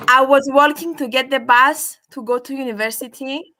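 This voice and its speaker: A woman's voice: sits high, normal speed, bright, forceful tone. A young woman from Cyprus whose first language is Greek, calling in and chatting in English with hesitant restarts and fillers. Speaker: caller. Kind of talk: casual call-in chat.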